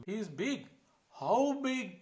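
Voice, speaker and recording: A man voicing a loud, dramatic, skeptical monkey in a children's puppet show, questioning and exclaiming. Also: high voice, very animated, extremely slow, full character voice. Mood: startled, questioning.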